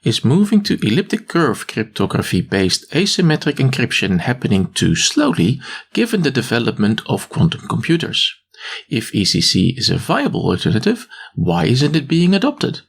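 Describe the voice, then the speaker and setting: A husky-voiced man moderating, reading prepared audience questions aloud. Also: mid-range voice, huge pitch swings, normal speed.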